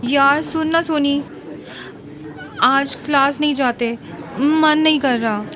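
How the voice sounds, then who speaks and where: A woman's voice: sits high, steady tone, takes it slowly, background noise. A woman playing a stubborn, defiant young college girl in an audio drama, mixing Hindi and English in a dramatic manner. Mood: annoyed, defiant. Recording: music behind.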